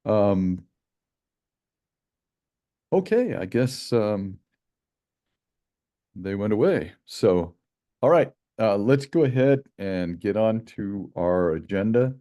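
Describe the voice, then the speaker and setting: A man with a very low, mellow voice hosts a casual online meeting. He talks in an easygoing, chatty way with plenty of fillers. It sounds like a video call. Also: slow, long pauses. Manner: relaxed, chatty, many fillers. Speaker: meeting host, project lead.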